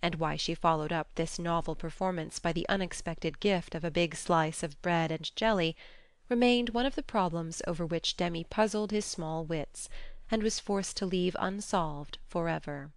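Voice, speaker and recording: A woman's voice: lowish voice, moderate pace, dry recording. A woman reading scripted storybook narration as an audiobook narrator.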